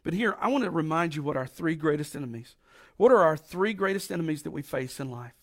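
A middle-aged man with a raspy voice from allergies, preaching a folksy, emphatic sermon with slow drawn-out stresses. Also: on the high side, animated. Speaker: pastor.

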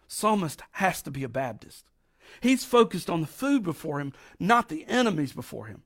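A middle-aged man with a raspy voice from allergies, preaching a folksy, emphatic sermon with slow drawn-out stresses. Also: on the high side, sing-song, fairly bright tone. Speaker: pastor.

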